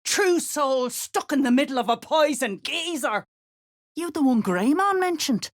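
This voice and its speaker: A woman playing a gruff, threatening dwarf sergeant in a video game, coarse and slangy in her speech. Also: sits high, expressive, a little slow. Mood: gruff, urgent. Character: gruff, menacing female duergar sergeant driving slaves. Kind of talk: video game character acting.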